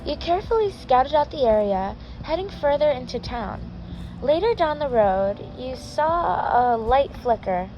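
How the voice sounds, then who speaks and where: Higher-pitched woman reading a story, noisy background. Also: very animated, a little slow, lo-fi sound. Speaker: fan fiction reader. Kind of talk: scripted story narration.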